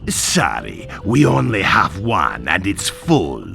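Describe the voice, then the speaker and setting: Gravelly, slow pirate innkeeper. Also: male voice, deep voice, huge pitch swings, fairly bright tone, soft background music, heavily acted. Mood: apologetic, drawling. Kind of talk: video game voice acting.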